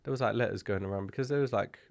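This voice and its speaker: Low gravelly man, chatty. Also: very fast. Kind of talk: casual podcast chat.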